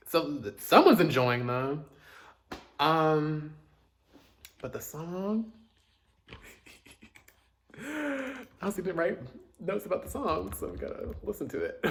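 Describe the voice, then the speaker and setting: A man casually chatting through his music reviews, chatty and self-deprecating, with joking asides. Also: fairly high pitch. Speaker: K-pop reaction host.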